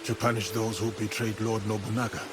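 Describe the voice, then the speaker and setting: An actor playing an honorable, composed samurai warrior in a video game, speaking formally and earnestly. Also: male voice, on the low side, little pitch movement, a little fast, noisy background, lightly acted. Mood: resolute. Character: steadfast, honorable male samurai warrior, calm and loyal.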